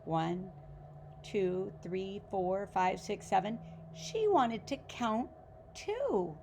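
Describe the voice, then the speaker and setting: A woman reading a children's picture book aloud like a teacher, expressively and deliberately, with questions to the listeners. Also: mid-range voice, a little hiss or hum, low-quality recording. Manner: animated, deliberate, pauses to ask listeners questions.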